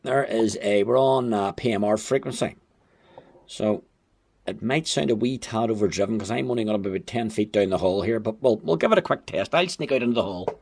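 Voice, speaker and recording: A man's voice: fairly low pitch, fairly quick, vocal fry, a little mellow, husky. A man giving a casual, chatty and wry hands-on gadget review. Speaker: amateur radio gadget reviewer. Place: indoors at home.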